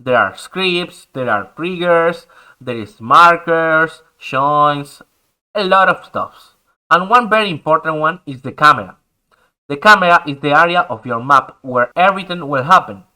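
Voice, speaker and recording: A man's voice: fairly high pitch, lively intonation, measured pace, bright, forceful tone, poor audio. A young man whose first language is Spanish, patiently explaining a game map editor step by step in English. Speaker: tutorial host. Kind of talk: screen-recorded game tutorial.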